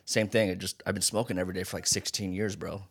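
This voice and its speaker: Fast-talking, low-voiced American man. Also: steady tone, slight background noise. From Hawaii and Las Vegas, United States. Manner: slangy, profane, bro-heavy talk. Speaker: podcast host. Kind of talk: casual podcast chat.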